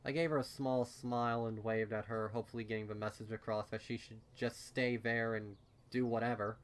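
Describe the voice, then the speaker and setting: A man giving an expressive first-person reading of a fan fiction story as narrator. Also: mid-range voice. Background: music under the voice.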